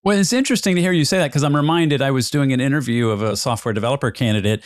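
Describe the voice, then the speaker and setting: A man's voice: mid-range voice, rapid-fire, dry recording, studio quality. A man hosting a casual interview, rambling and thinking aloud as he repeats words. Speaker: podcast host, interviewer.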